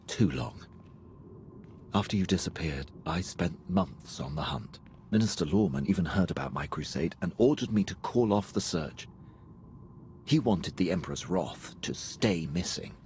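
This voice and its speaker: An actor playing a formal, deferential and anxious imperial military officer in a video game, with measured speech. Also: male voice, deep voice, animated, darkish tone, a little hiss or hum. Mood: formal, regretful. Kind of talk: cinematic video game voice acting.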